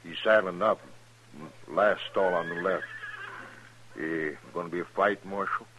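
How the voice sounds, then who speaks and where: An actor playing a folksy, wary livery stable keeper in a 1950s radio western, with a rural American accent and clipped phrases. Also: male voice, sits low, steady tone, unhurried, fairly bright tone, highs cut off. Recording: noisy old radio drama recording.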